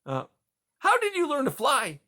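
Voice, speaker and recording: A man voicing a frightened, excitable young boy mouse who stammers and asks eager questions. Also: very high-pitched, normal speed, voice pushed up, exaggerated voice. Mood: excited.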